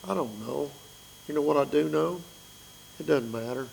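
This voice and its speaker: A man in his fifties with a raspy voice, preaching an earnest sermon that pleads with his listeners. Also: on the high side, moderate pace, darkish tone, slight background noise, poor audio.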